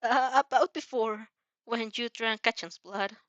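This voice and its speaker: A woman's voice: a little high, measured pace, a bit bright, dry recording, highs cut off. Woman voicing a shy, stammering, anxious teenage boy. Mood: shy, earnest. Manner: stammering, hesitant, earnest.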